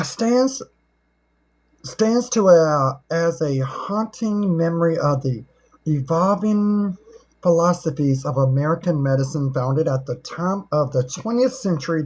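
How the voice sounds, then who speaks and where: A middle-aged woman with a husky voice, chatting casually as a livestream host in a folksy, teasing, joking way. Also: lowish voice, darkish tone.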